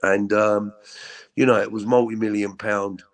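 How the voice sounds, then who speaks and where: A middle-aged man with a deep voice, telling his story as an interview guest in a halting, candid way with many repeats. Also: level tone. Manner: halting, repeats words, frequent ums.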